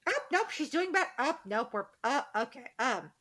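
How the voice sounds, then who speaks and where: A woman's voice: a little high, big pitch swings, moderate pace, pushed and bright. A woman co-hosting a podcast in casual, joking chat, with dry, irreverent banter. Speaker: podcast co-host.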